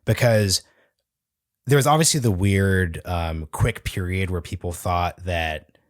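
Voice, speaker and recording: A man with a deep, low voice hosts a podcast interview. He asks long, meandering questions, working out his thoughts as he talks. The sound is dry and close to the mic. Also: unhurried, dry recording. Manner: thinks aloud, long rambling questions. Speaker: podcast host.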